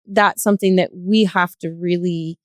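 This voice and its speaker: A woman's voice: lowish voice, fairly even intonation, moderate pace, no room sound, clean studio sound. A woman in a reflective podcast conversation, explaining her views in a thoughtful, earnest way. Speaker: podcast guest.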